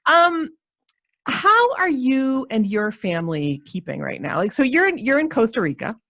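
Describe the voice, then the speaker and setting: A woman's voice: high-pitched, big pitch swings, normal speed, a bit bright, no room sound, clean studio sound. A middle-aged woman hosting a podcast, chatty and enthusiastic, rambling a bit as she interviews her guest. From United States. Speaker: podcast host.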